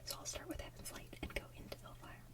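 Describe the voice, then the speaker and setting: A woman hosting ASMR, chatting casually in a soft whisper like an enthusiastic fan who rambles as she goes. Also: fast, distant mic. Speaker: ASMR video host.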